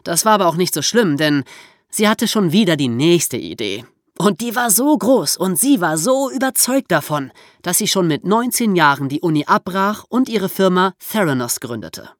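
A woman's voice: on the low side, big pitch swings, normal speed, no echo, studio quality. A woman hosting a podcast for young listeners, explaining and storytelling in a conversational way with casual asides. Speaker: podcast host.

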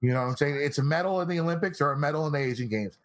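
A young man born in South Korea, a guest on a podcast, chatting casually with a relaxed, filler-heavy way of talking. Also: a little high, very fast. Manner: relaxed, laid-back banter with frequent fillers. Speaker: guest analyst.

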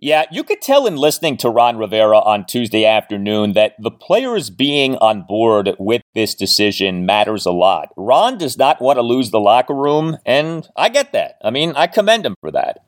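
A male sports podcast host with a very lively, swinging delivery. He speaks emphatically and deliberately in a mid-range voice, recorded close and dry with no room sound. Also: mid-range voice, dry recording. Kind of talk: sports commentary monologue with sponsor read.

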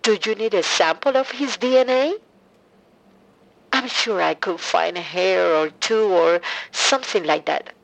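A woman's voice: mid-range voice, measured pace, vocal fry. A bereaved mother in an audio drama. Her mid-range voice comes as if over a phone call. She is blunt and suspicious, with grief turned bitter. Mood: shocked, disbelieving. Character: grieving, bitter mother of an adult son. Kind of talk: full-cast audio drama acting.

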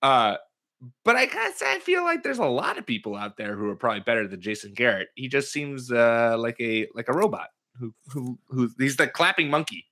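A man's voice: mid-range voice, big pitch swings, brisk, sharp tone. A man hosting a casual sports podcast, joking around and slipping in and out of put-on accents. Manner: jokey, puts on shifting accents. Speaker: podcast host.

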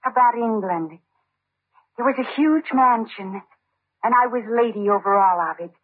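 A woman's voice: fairly high pitch, moderate pace, lightly acted. Actress as a brittle faded woman, tinny old radio. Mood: wistful reminiscing. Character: troubled, faded woman saloon drinker, secretly a poisoner. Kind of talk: old-time radio drama acting. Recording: tinny, band-limited old radio recording.

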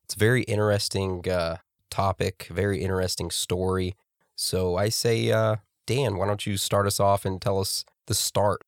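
A man hosting a casual podcast, congested from a cold, chatty and quick to laugh. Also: low voice, fairly even intonation, dry recording, studio quality. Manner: laughing, chatty, talks over his co-host. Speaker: podcast host.

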